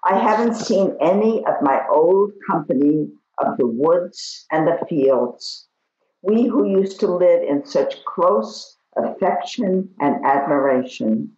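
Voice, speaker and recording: A woman reading poetry aloud in a slow recitation, recorded in an echoey room with dull audio. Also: on the low side, expressive, warm tone. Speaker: poetry reader.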